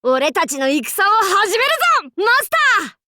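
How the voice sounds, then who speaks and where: A woman's voice: very high voice, very animated, measured pace, pushed and bright, dry recording, clean studio sound, exaggerated voice. A woman voicing a boisterous female demon king warlord in a Japanese anime game, speaking in a haughty, archaic, theatrical way. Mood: cocky, brash. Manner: haughty old-fashioned lordly speech, theatrical battle cries.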